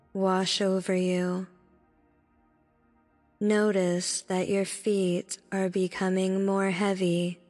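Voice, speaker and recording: Slow, even-toned woman guiding meditation. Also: mid-range voice. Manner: soothing, deliberate, instructing in short phrases. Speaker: meditation guide. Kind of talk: guided meditation narration. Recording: long reverb with background music. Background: music.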